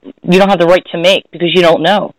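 A woman's voice: lowish voice, steady tone, quick pace, dry recording, low-quality recording. A woman hosting a spiritual talk, speaking in a thoughtful, searching way and often correcting herself. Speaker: host. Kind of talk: reflective casual conversation.

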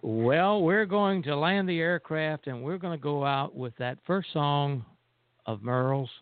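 A man's voice: a little high, a little slow, clean tone, no room sound. A man co-hosting a radio show in casual chat, heard over a phone connection. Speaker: radio show producer and co-host. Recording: dry, narrow phone-line sound.

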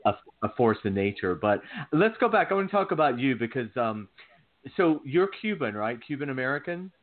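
A man's voice: mid-range voice, very animated, fairly quick. A man co-hosting a casual talk show, chatty, asking long rambling questions. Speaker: co-host. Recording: tinny phone line.

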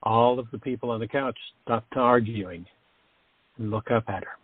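Guy reading radio play narration, husky n creaky, tinny phone sound. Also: medium pitch, normal speed, no room sound. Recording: tinny, dry phone-like audio.

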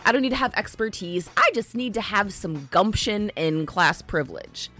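A woman's voice: medium pitch, expressive, normal speed, pushed and bright, faint music behind. A woman co-hosting a casual podcast, blunt and profane, often murmuring agreement. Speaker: podcast co-host.

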